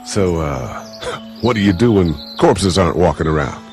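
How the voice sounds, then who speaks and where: An actor playing a calm, guarded adult survivor in a video game, speaking in a measured, hesitant way. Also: male voice, sits low, soft background music. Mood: gentle, reserved. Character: calm, guarded adult man, former university teacher, survivor. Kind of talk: naturalistic video game acting.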